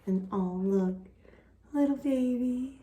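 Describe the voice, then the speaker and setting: A woman reading a picture book aloud expressively, with dramatic pauses and casual asides to young listeners. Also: a little high, dark tone, slight background noise. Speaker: children's picture book reader.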